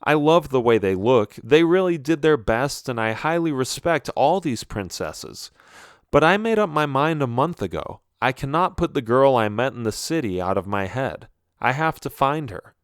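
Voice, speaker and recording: A man's voice: medium pitch, sing-song, moderate pace, clean tone, dry recording, clean studio sound, close to an everyday voice. A man voicing an earnest, lovestruck young prince in a storybook, sincere and hesitant. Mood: sincere, resolute.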